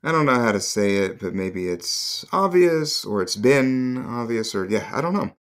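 An actor in an audio play playing an awkward, heartbroken, tender young father who speaks haltingly and corrects himself. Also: mid-range voice, lively intonation, fairly bright tone. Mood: awkward, sad. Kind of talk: naturalistic comedy-drama acting.

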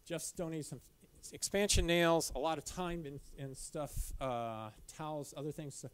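A man's voice: fairly high pitch, clean tone. A middle-aged man giving a casual slide talk in a dim lecture room, with many restarts and fillers. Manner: loose, halting, frequent restarts and fillers. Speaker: caving club presenter.

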